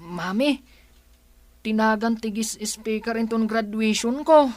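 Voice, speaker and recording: Woman playing an eager schoolboy, high and unhurried. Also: darkish tone, no room sound. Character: excited schoolboy calling for his mother. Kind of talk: Ilocano radio drama acting.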